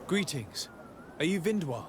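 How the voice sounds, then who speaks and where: An actor playing a composed, firm envoy in a video game, polite, formal and direct. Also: male voice, a little high, sing-song, unhurried, slight background noise. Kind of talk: video game cutscene acting.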